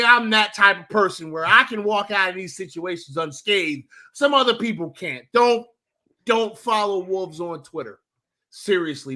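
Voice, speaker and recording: A high-pitched man hosts a loose sports talk stream, cursing freely as he rambles through shout-outs. His voice is bright and forward, and he often restarts his sentences before landing the point. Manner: profane, rambling, with many restarts.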